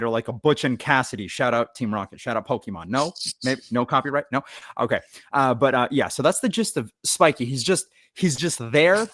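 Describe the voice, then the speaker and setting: A man's voice: mid-range voice, fairly bright tone, dry recording, clean studio sound. A man who works as a voice actor, chatting casually on a cast panel in a playful, jokey way.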